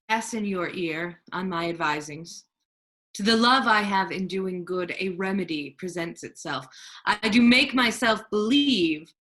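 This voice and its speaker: Lady acting a duke disguised as a solemn friar, slow measured shakespeare, crisp bright tone. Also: medium pitch, lightly acted. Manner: measured, formal. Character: disguised Duke, grave, counseling male friar. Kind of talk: staged reading of Shakespeare.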